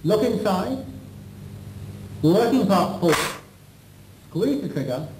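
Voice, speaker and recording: A man with a slightly high voice narrates a practical demonstration as an instructor. He is formal and methodical, going step by step. The sound is a roomy old videotape copy with faint noise. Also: very animated, slow. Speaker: weapons instructor.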